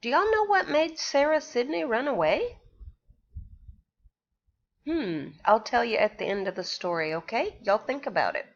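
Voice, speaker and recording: A woman, a pre-K teacher, reading a picture book aloud to young children and pausing to ask them questions. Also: a little high, expressive, lo-fi sound. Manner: slow, sing-song storytelling with questions to the children.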